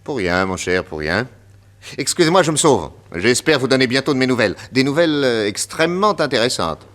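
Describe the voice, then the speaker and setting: An actor playing a confident, witty detective inspector with a French accent, emphatic and theatrical in 1960s radio drama style. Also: male voice, medium pitch, sing-song, brisk, a bit bright. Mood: jovial. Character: confident, witty male detective inspector explaining his deductions.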